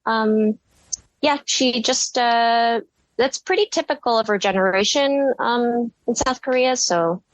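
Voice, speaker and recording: A woman's voice: a little high, measured pace, no echo, poor audio. A woman in her thirties, a ceramicist telling her story in a casual interview, rambling with lots of fillers and frequent giggles. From United States. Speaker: guest ceramicist.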